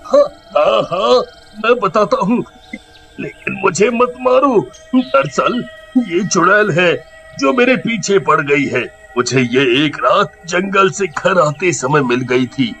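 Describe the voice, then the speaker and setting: A haunted, cowardly cartoon father, acted by a man, begs in a high voice. He stammers and repeats himself in a bright, strained tone over music. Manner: stammering, repetitive begging. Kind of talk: animated horror story character voice.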